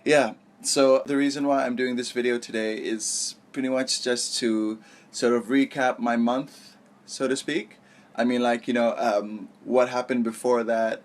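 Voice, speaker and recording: A young man with a mid-range voice chats informally to his viewers in a storytime vlog. He rambles along in a conversational way, correcting himself and padding his sentences with small filler phrases. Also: medium pitch. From born in Malaysia, raised abroad. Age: young adult. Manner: rambling, informal, self-correcting, peppered with "you know" and "like". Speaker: vlogger. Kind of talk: casual storytime vlog chat.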